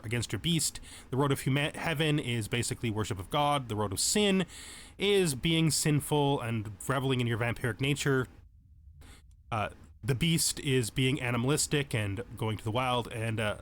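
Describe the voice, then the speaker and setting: Man, medium pitch, gaming tutorial host, informal explanatory delivery, reading and commenting, noisy background. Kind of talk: tutorial let's play commentary, mixing reading game text with casual explanation.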